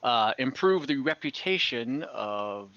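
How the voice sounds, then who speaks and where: A man voicing a businesslike male leader of a fantasy adventuring company in tabletop role-play, halting but matter-of-fact. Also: medium pitch, animated, fairly bright tone, dry recording, dull audio. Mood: businesslike, urgent.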